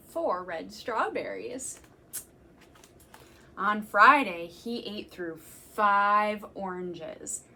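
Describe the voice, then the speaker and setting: A young woman, a children's librarian, reading a picture book aloud to children in a gentle, slow, sing-song way and counting along. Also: on the high side. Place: library room.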